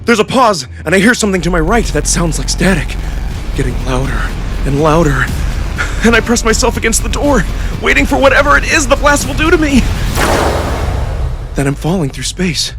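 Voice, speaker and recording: A man narrating a first-person story in a theatrical, expressive, scripted style. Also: high voice, a little hiss or hum. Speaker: narrator and voice actor.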